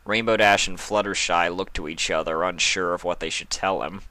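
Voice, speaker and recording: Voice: low voice, fairly even intonation, moderate pace, pushed and bright, dry recording. A narrator reading a story aloud. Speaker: fan fiction narrator.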